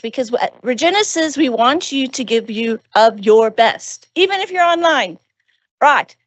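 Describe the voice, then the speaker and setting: A woman's voice: high voice, no room sound. A woman leading a live orientation session, coaxing the audience through an activity on a handheld mic in a lecture venue. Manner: lively, animated, coaxing the audience; says she has a very powerful voice. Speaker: head of learning and teaching.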